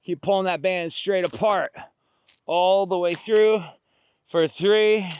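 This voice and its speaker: A man's voice: sits high, steady tone, slow, a little mellow, slight background noise. A middle-aged man coaching a workout outdoors, cueing form, counting reps and cheering people on. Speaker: fitness instructor. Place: outdoors on a beach.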